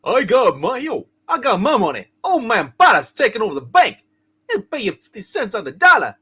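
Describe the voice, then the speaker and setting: A woman's voice: on the high side, huge pitch swings, a little fast, bright, forceful tone, husky, full character voice. A woman playing a brash, impatient customer demanding money in a hammed-up radio play reading. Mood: insistent.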